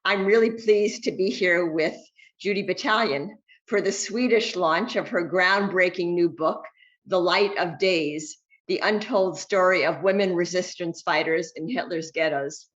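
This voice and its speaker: A woman hosting an author interview over a video call, reading a measured, prepared introduction. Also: on the low side, muffled recording. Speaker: interviewer and host.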